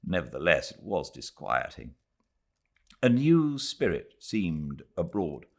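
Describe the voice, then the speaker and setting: A British man narrating a classic novel in a measured, expressive reading voice. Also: sits low. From Britain. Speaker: audiobook narrator. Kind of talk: scripted literary narration. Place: home, beside a fireplace.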